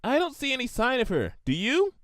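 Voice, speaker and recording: A man's voice: extremely high pitch, huge pitch swings, brisk, a bit bright, dry recording, studio quality, pitched above the performer's own voice, heavily acted. A man voicing a starstruck female pegasus in a story, eager and gushing in a slightly creepy way. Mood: earnest.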